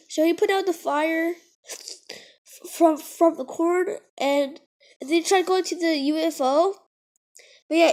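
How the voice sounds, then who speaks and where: A young child vlogger who is sick, rambling through a casual monologue with lots of fillers and restarts. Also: female voice, very high-pitched, darkish tone. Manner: halting, many fillers and restarts.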